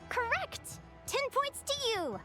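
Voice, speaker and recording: A woman's voice: very high voice, wildly swooping pitch, takes it slowly, sharp tone, faint music behind, exaggerated voice. A woman voicing a cheerful young adventuring expert in a video game, quizzing and instructing novices in a perky way. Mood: perky, encouraging. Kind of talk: animated video game acting.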